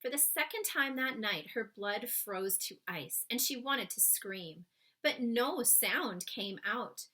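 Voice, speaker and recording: A woman reading a children's story aloud in an expressive, suspenseful way, stretching key words for emphasis and chatting to young listeners. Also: on the high side, slow. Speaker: children's book read-aloud reader.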